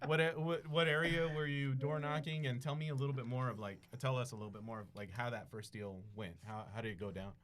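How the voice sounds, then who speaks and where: A man's voice: mid-range voice, steady tone, fast, hardly any pauses, a bit bright. A man hosting a podcast interview in an informal, affirming way, prompting with tag questions and follow-ups. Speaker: podcast host. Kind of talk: casual conversational interviewing.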